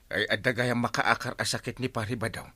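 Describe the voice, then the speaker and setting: A man's voice: medium pitch, fairly even intonation, fairly quick, bright, forceful tone, breathy edge, no echo. A man with an Ilocano accent playing an easygoing, hesitant, gossip-loving village captain in a radio comedy drama. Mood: evasive, sheepish.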